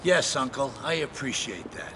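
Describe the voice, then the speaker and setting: A man's voice: medium pitch, very animated, normal speed, background noise, close to an everyday voice. A man playing a brash, volatile mafia don in a video game, with an Italian-American accent and a blustering, theatrical manner. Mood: deferential. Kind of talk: video game crime drama acting.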